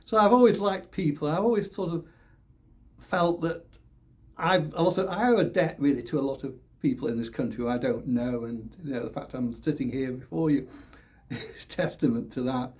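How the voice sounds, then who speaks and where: An older man from the north of England, a political candidate answering interview questions in a halting way, often repeating words, with small laughs. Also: a little high, darkish tone. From England, born Leeds, raised Oldham. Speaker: election candidate.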